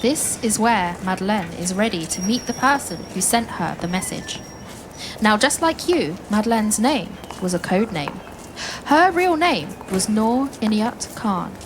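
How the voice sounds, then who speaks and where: Woman playing quest narrator for a kids' audio play: theatrical, mid-pitched, suspenseful pauses, speaks straight to listeners, noticeable background ambience. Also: lively intonation, background noise, natural voice. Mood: suspenseful narration. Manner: addresses listeners directly, dramatic pauses. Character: adventurous woman storyteller guiding listeners on a quest. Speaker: storyteller of a participatory audio play. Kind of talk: theatrical children's storytelling.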